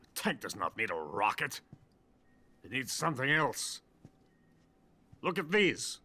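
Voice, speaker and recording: An actor playing a drunk, boastful older Soviet weapons scientist in a video game cutscene. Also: male voice, mid-range voice, very animated, unhurried, pushed and bright, heavily acted. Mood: bitter, scheming. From Soviet Union. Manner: pompous, self-important, bitter.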